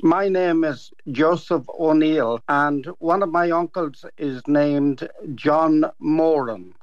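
An older man from Kilkenny with an Irish accent, recounting memories as an interview guest in a halting, hesitant way. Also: a little high. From Kilkenny, Ireland. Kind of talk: personal family recollection in an interview. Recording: dull, dry lo-fi sound.

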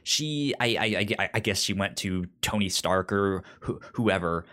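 A man co-hosting a podcast in casual discussion, halting and often stammering over repeated words. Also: low voice, lively intonation, sharp tone. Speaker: podcast co-host. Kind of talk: casual comic book discussion.

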